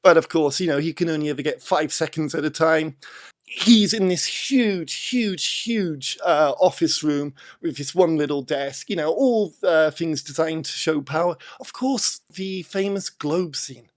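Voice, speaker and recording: A British man with a fairly high voice discusses film casually. He explains his points step by step, leaning on repeated words for emphasis. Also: animated, unhurried. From Britain.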